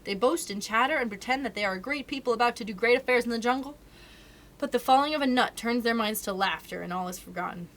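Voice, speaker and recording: A woman's voice: a little high, fast, a bit bright, lightly acted. A woman voicing an old male brown bear who teaches the law of the jungle, solemn and lecturing.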